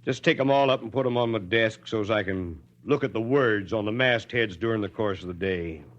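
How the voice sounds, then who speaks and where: An actor playing a principled, weary small-town Texas mayor in a folksy, drily ironic American manner, in 1940s radio drama style. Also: male voice, lowish voice, a little fast, lightly acted. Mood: wry, weary. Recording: dull, lo-fi 1940s radio recording.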